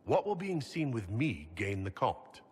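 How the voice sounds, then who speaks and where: An actor playing a steady, protective leader in a video game, speaking in a measured, plain and polite way. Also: male voice, mid-range voice, slightly rough, heavily acted. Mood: resolute. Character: calm, steady, protective heroic male leader. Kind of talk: video game cutscene acting.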